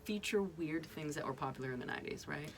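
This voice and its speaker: A woman's voice: sits low, quick pace, a little hiss or hum. A woman in her thirties from New England, hosting a podcast in casual chat, talking with lots of fillers and laughs. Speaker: podcast host.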